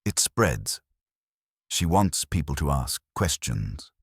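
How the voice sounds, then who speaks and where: A man voicing a quiet, unhurried police inspector in a detective audio drama, measured and softly spoken. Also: extremely low pitch, very animated, husky, no echo, studio quality, exaggerated voice. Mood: quietly certain.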